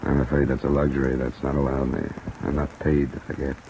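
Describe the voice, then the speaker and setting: An actor playing a tough, weary American insurance investigator in terse 1950s detective radio drama style. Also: male voice, very deep voice, monotone, quick pace. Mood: weary, intimate. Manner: terse, hard-boiled delivery. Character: tough, weary male insurance investigator narrating his case. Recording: old radio recording under rain noise.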